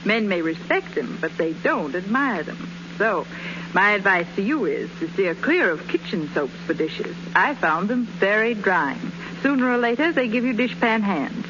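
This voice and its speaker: An actress playing a married housewife who gives earnest, chummy advice in a 1930s radio commercial. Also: female voice, medium pitch, very animated, moderate pace, close to an everyday voice. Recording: dull, faintly hissy 1930s radio.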